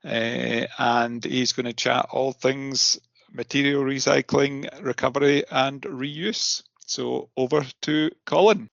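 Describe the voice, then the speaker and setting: A man hosting a podcast in a casual, halting chat style, often repeating his words. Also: mid-range voice, fairly even intonation, creaky, fairly bright tone, no echo, dull audio. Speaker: podcast host.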